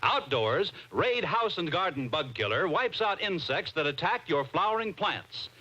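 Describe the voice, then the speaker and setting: A man announces a vintage commercial in a forceful, very animated voice over muffled lo-fi audio. He delivers it as an old-fashioned advertising pitch. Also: measured pace, slightly rough.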